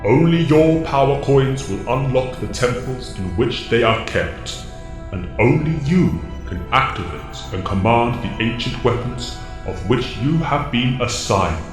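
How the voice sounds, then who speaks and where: A man playing a solemn alien mentor in an audio drama, speaking formally and gravely with booming reverb. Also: expressive, measured pace. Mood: solemn, commanding. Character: solemn male alien mentor commanding young heroes. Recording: long echoing reverb, music behind.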